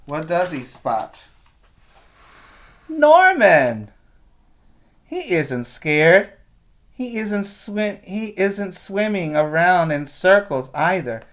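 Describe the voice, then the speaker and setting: A man's voice: sits high, sing-song, drawn-out words, long pauses, a bit bright. A man teaching preschoolers, speaking in an instructive, question-and-answer way as he reads aloud and guides young children.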